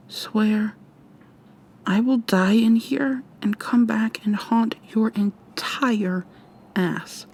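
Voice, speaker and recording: A woman playing a defiant, frightened young human captive in an intimate, hushed, breathy ASMR roleplay, tense and sweary. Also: mid-range voice. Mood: drowsy, threatening. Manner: tense, profane, confrontational.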